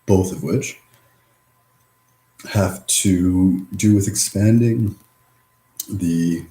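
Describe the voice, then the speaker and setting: A man with a very low voice talks slowly through a reflective, rambling monologue. He mumbles and drifts, leaving long gaps between phrases. The sound is roomy, with clear echo around him. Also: dark tone. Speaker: vlogger.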